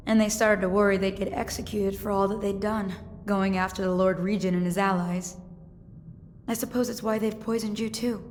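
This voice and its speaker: A woman's voice: medium pitch, lightly acted. Game servant played by a woman: frightened massacre survivor, shaken, big reverberant space. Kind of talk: video game voice acting. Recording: long reverb, faint music behind.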